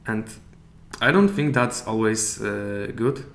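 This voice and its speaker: A man with a Polish accent, a native Polish speaker, chatting as a podcast guest and often pausing to search for English words. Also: fairly low pitch, fairly bright tone. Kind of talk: casual interview chat. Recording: echoey room with faint hiss.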